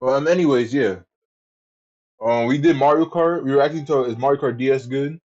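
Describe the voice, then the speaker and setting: A man's voice: medium pitch, fairly quick, darkish tone, dull audio. A man streaming live, chatting casually to his viewers in a rambling, profane way and often repeating himself. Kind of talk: casual livestream chat.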